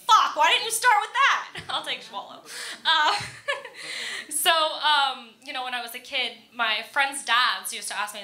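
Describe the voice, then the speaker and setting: Bright, giggly American woman doing stand-up. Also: on the high side. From Florida, United States. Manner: giggles often through own delivery; self-deprecating, casual storytelling. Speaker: stand-up comedian. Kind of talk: stand-up comedy set. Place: indoor comedy club stage. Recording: echoey room, live comedy club.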